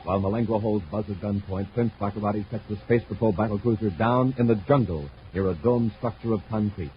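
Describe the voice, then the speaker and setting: A man's voice: fairly low pitch, a little fast, over music, close to an everyday voice. A man narrating a vintage space adventure radio serial in a dramatic announcer style. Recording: muffled, very noisy old radio recording.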